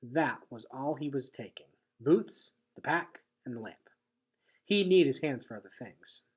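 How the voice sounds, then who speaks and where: A man with a husky voice reading a children's novel aloud as expressive storybook narration. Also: mid-range voice, unhurried. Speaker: teacher reading a chapter aloud.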